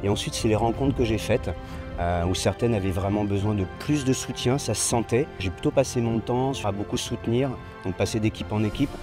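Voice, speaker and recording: A man with a fairly low voice talks casually in an interview as an event patron. Music is clearly heard behind him. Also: a little fast. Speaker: event patron supporting teams.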